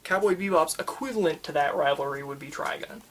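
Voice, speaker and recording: A person doing a casual ASMR roleplay as a shop worker, chatting in a rambling, halting, enthusiastic way. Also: medium pitch. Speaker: manga store worker in a roleplay. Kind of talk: ASMR roleplay, casual customer-service chat.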